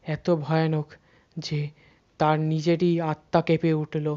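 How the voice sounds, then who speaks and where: Flat-toned horror storyteller. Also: medium pitch, dull audio. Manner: dramatic pauses and repeated words for suspense. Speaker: narrator. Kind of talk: scripted horror story narration.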